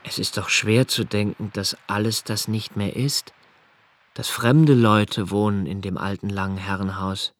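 An actor playing a solitary, brooding young poet of twenty-eight who narrates his own thoughts in a literary radio drama, in a slow, measured, contemplative way. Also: fairly low pitch, steady tone, a bit bright. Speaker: first-person narrator of a radio play.

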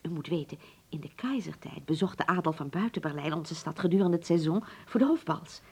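An actress playing a nostalgic, genteel middle-aged hotel proprietress in a Dutch radio drama, speaking formally and with stately dramatic pauses. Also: female voice, sits low, expressive, dark tone, slightly rough, heavily acted. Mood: fondly nostalgic.

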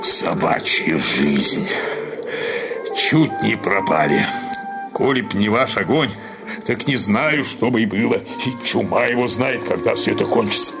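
An actor playing a gruff, weary and irritable young postman in a Russian radio play. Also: on the low side, animated, measured pace. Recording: loud music behind the voice.

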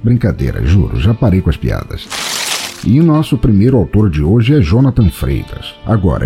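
Male narrator, Brazilian accent, very low dark voice, wry, theatrical, scripted podcast delivery, faint music behind. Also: gravelly.

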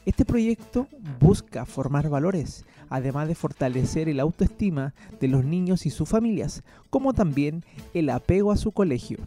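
A man's voice: on the high side, animated, normal speed, dark tone. A man reading a scripted news report. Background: background music.

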